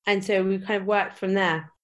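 A woman director talks in a podcast interview at a middling pitch. Her delivery is relaxed and reflective. She restarts her sentences now and then as she picks her words. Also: moderate pace. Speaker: film director.

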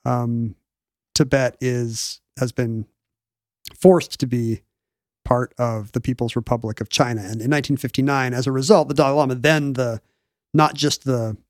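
A man's voice: mid-range voice, fairly even intonation, normal speed, darkish tone, no echo, clean studio sound. A man in his forties explaining history in casual conversation, rambling and often searching for the right word. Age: 40s. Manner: rambling, searches for words mid-sentence.

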